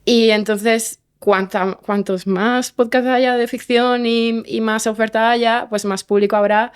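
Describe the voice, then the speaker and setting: A woman's voice: medium pitch, smooth. A woman chatting casually in an interview, rephrasing often and checking for agreement as she goes. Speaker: guest, fiction podcast writer.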